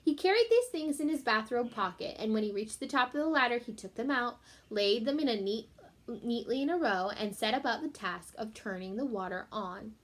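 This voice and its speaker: Higher-voiced woman reading to children. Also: moderate pace. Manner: expressive, addresses young listeners directly. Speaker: teacher reading aloud to pupils. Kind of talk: children's book read-aloud with short explanatory asides.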